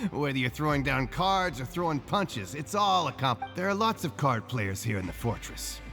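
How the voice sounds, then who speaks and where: An actor playing a friendly, eager card-playing inmate in a video game, breezy and gossipy. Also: male voice, medium pitch, very animated, moderate pace, creaky, bright, forceful tone, soft background music, heavily acted. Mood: chatty.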